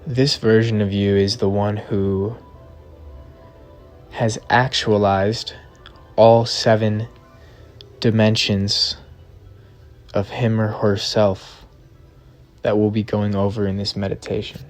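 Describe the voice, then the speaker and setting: A man with a fairly low, very even voice guides a meditation. He speaks very slowly and deliberately, leaving long pauses between short phrases. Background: background music.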